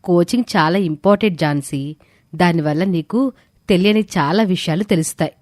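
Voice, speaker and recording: A woman's voice: lowish voice, normal speed, darkish tone, dry recording, clean studio sound, close to an everyday voice. A woman playing a caring, encouraging teacher who explains things patiently and firmly in an audio story. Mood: instructive. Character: Bharathi, caring, encouraging female teacher and mentor. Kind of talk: scripted audio story dialogue.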